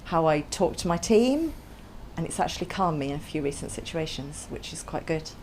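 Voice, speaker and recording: English woman hosting a podcast, lowish voice that swings all over, laughs a lot, bit of background hiss. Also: brisk, low-quality recording. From England. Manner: lively, laughs often. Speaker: podcast host. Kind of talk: casual chat.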